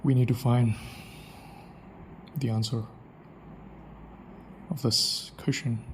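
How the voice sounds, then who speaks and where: A man's voice: mid-range voice, steady tone, takes it slowly, slight background noise. A man with an Indian English accent, thinking aloud in a reflective solo monologue, restarting his sentences often. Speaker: podcaster.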